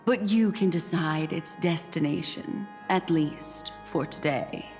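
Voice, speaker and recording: A slow, gentle mentor. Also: female voice, sits low. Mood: calm. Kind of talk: video game dub. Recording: reverberant space with faint music.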